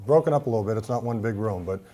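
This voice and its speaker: A man with a Boston accent talks quickly in a rambling, filler-heavy way. His voice sits low. He is chatting as a guest on a talk show. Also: warm tone. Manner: rambling, many fillers and restarts. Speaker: event center owner, guest. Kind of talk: casual TV talk show interview.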